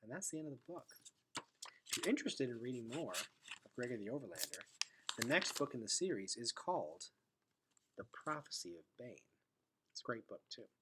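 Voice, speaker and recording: A man reading a storybook aloud expressively, with dramatic delivery that drops into hushed, breathy tones. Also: mid-range voice, big pitch swings, normal speed, pauses often, noisy background. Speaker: teacher reading aloud to students.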